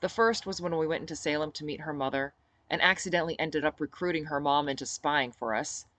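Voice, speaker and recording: A woman reading her own novel aloud as expressive first-person narration. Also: deep voice, quick pace. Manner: dramatic reader who shifts into character for dialogue.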